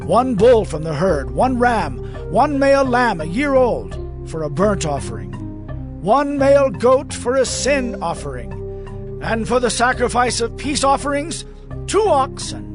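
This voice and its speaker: Male reader, extremely high pitch, animated melody, formal scripture recitation, music behind. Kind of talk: dramatized scripture reading.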